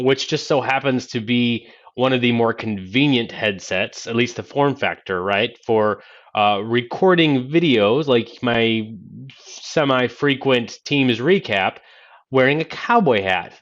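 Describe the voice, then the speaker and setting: A man in his thirties hosting a casual tech unboxing in a halting, conversational way, speaking into a Bluetooth headset in a small office. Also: medium pitch, lively intonation. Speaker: tech video host demonstrating a headset. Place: small home office, indoors. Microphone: single-ear Bluetooth headset. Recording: dull narrow-band Bluetooth headset sound.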